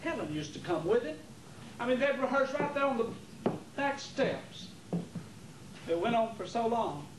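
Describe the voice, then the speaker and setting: A man's voice: extremely high pitch, sing-song, unhurried, long pauses, full character voice. A man playing a troubled, loyal nephew who defends his uncle, in an amateur stage play. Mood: troubled, indignant. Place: theater during a rehearsal. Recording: roomy, noisy 1980s theater rehearsal tape.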